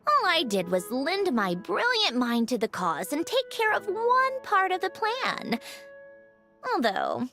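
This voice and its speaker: An actress playing a haughty, prickly young female genius in a video game, deflecting warmth with proud, dismissive talk. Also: very high voice, wildly swooping pitch, slow, soft background music, heavily acted. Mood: proud, defensive.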